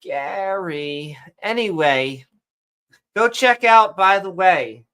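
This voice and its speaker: A man co-hosting a casual late-night podcast, rambling and jumping between topics, his voice going hoarse. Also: high voice, expressive, takes it slowly, pushed and bright. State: losing his voice. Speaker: podcast co-host.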